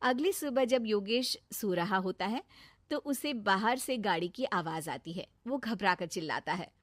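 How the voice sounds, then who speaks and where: Woman telling a bedtime-type story, steady, dry close mic. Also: medium pitch, unhurried, no echo. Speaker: narrator. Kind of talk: storybook narration.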